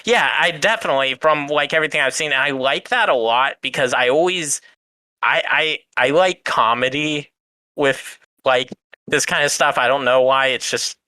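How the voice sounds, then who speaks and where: A man's voice: medium pitch, normal speed, pushed and bright, clear, resonant tone, no echo. A man chatting casually as a podcast co-host, in an earnest, hesitant, rambling way. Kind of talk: casual chat.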